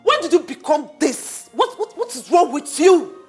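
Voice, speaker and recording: A reproachful woman scolds her friend in a high, animated voice. Faint music plays under her lines. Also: normal speed. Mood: scolding. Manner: incredulous, scolding.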